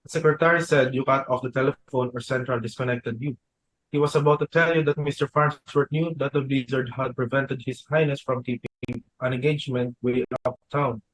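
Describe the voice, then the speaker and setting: A man reading the part of a deferential butler in a student dramatic reading, speaking in a measured, formal way. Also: medium pitch, steady tone, a bit bright, dry recording, close to an everyday voice, rough recording. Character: polite butler Dunham, adult man. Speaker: student reader.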